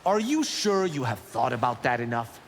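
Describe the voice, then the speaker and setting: An actor playing a boastful, brash young warrior monk in video game banter. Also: male voice, medium pitch, very animated, moderate pace. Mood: taunting. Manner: proud, brash, self-assured. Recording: long hall reverb, clear background noise.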